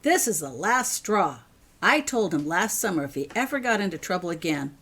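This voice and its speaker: A woman's voice: lowish voice, big pitch swings, moderate pace, husky, full character voice. Woman voicing an exasperated, angry father. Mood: furious. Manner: shouts and snaps when angry. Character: angry, exasperated father, stern but loving.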